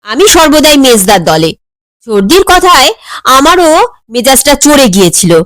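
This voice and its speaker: High-pitched woman narrating a comic story. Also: big pitch swings, measured pace, fairly bright tone, dry recording. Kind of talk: expressive narration of a comic audio story, voicing dialogue.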